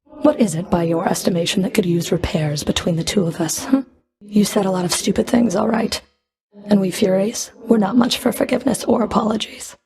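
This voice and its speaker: Actress doing a proud cold fury lady for a video game, lots of fry in the voice, dry and cutting, medium pitch. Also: brisk, darkish tone, close to an everyday voice. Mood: guarded, stern. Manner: dry, cutting, haughty, controlled. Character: cold, proud Fury woman of the underworld, sardonic and stern. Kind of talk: video game character voice lines.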